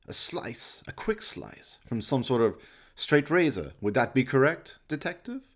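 Radio play villain: pompous middle-aged evil doctor, raised pitch, savouring each word theatrically. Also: male voice, big pitch swings, a little slow. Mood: smug, professional. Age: 50s. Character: sinister, gloating middle-aged mad scientist doctor.

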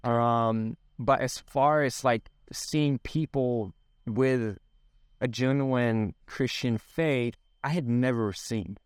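Swedish guy in his 30s, smooth clear voice, dry close sound, lots of ums and restarts. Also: medium pitch, slow pace, no echo. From Sweden. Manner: reflective, many fillers and restarts. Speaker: guest. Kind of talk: casual podcast interview.

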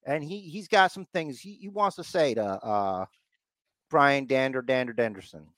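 A man's voice: medium pitch, moderate pace, a bit bright, no echo, clean studio sound. A man hosting a podcast, giving a casual, rambling recap with mock exasperation and repeated phrases for emphasis. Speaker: podcast host recapping wrestling.

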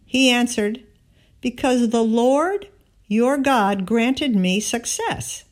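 A woman giving a scripted Bible reading, narrating and voicing the dialogue in deliberate, measured phrasing. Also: fairly high pitch. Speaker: scripture reader.

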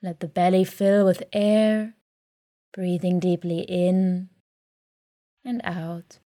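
A woman leading a guided sleep meditation in a calm, soothing, gentle way, leaving long pauses between phrases. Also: lowish voice, dry recording, studio quality. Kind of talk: guided sleep meditation, soft scripted narration.

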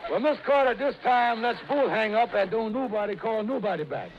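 A man's voice: high voice, animated, fairly quick. A man playing a flustered, evasive schemer in an old radio comedy, stammering in folksy, ungrammatical speech. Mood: defensive. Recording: dull, noisy vintage radio recording with clicks.

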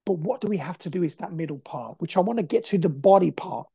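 A man's voice: high-pitched, lively intonation, fast, vocal fry, dark tone, husky, no echo, studio quality. A man in his forties from Brazil, hosting a podcast with an emphatic, repetitive, motivational way of talking. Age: 40s. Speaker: podcast host. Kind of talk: motivational monologue.

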